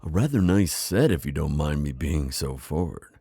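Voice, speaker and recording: A very deep-voiced, wise old vampire innkeeper, acted by a man for an immersive ASMR roleplay. He is friendly and reassuring, with a gravelly creak and lively swings in pitch as he chats to a guest. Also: normal speed, no echo, heavily acted. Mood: curious, friendly. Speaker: roleplay audio performer.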